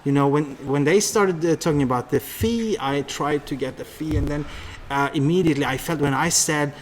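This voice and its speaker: A man with a Norwegian accent telling stories conversationally as an interview guest, often halting and restarting his words. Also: a little high, a little hiss or hum. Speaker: public speaker and seminar leader, interview guest.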